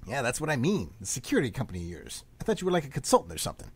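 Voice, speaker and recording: A man playing a nervy, inquisitive younger brother in an amateur radio play, slangy and questioning, with a husky voice. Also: mid-range voice, huge pitch swings, rapid-fire. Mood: nervously curious. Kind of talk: live amateur radio theatre acting.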